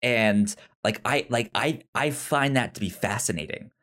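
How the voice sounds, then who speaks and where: A man co-hosting a podcast, talking casually about film in a halting, rambling way with many restarts. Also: mid-range voice, fairly bright tone. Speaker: podcast co-host.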